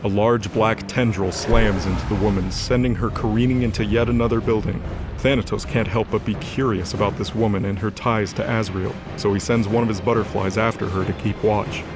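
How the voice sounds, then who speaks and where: A man's voice: fairly low pitch, steady tone, a little fast. A man narrating an audio drama in a dramatic scripted style, with music behind him.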